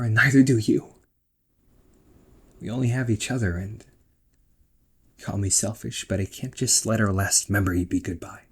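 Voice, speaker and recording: A man playing a protective, exasperated ghost brother in an emotional amateur audio roleplay, speaking in a husky voice. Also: lowish voice. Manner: emotional, swearing, swings from outbursts to soft confessions.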